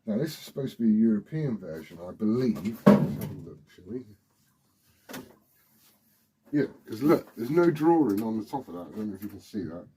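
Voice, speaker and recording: A British man with a husky voice rambling informally and swearing as he hosts a casual hobby vlog. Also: on the low side, lively intonation, normal speed, mellow, a little hiss or hum, poor audio. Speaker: CB radio hobbyist video host. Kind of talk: casual rambling chat to camera.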